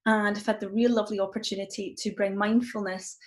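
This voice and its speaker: A middle-aged Scottish woman guides listeners through a mindfulness session over a live stream. Her voice sits at an ordinary middle pitch, and she talks softly and warmly with plenty of ums. The sound comes through a simple computer microphone. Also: level tone, fast talker. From Scotland. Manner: gentle, warm and encouraging, with frequent ums. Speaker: mindfulness coach.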